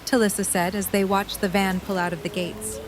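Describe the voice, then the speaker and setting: A woman reading the narration of an audio drama in an even storytelling manner. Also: medium pitch, brisk, a crowd in the background, poor audio. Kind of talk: scripted narration.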